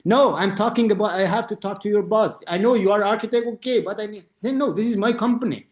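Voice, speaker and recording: A middle-aged man, an architect being interviewed, speaking halting non-native English with many restarts and acting out the voices in his stories. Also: high-pitched, echoey room. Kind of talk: conversational interview. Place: lives in Austria.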